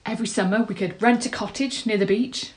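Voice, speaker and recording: A woman's voice: a little high, brisk, close to an everyday voice. An actress performing a dramatic monologue as a nervous, tender woman, earnest, hesitant and self-deprecating. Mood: tender, hopeful. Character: nervous, tender, rambling woman proposing marriage.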